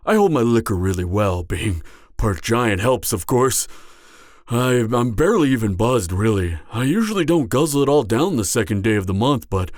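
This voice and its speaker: A man's voice: medium pitch, darkish tone, no room sound, clean studio sound. A man playing an anxious, awkward, slightly drunk part-giant mercenary in an intimate fantasy audio roleplay, hesitant and stammering. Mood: defensive, tipsy. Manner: hesitant, stammering, trailing off, sighing.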